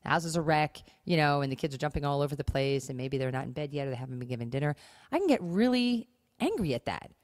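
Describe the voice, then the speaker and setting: A woman talks casually as a podcast co-host in a fairly low voice. She stresses her points and slips into imagined remarks as she goes. The sound is dry, with little room in it. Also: animated, fast talker, a little mellow, no echo. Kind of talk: casual conversational talk on marriage and parenting.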